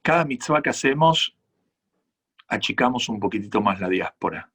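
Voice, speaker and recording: Husky low-voiced Argentine rabbi. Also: male voice, expressive, fairly bright tone. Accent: Argentine Spanish. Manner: earnest, measured, reflective explanations. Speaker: rabbi answering audience questions. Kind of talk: conference Q&A talk.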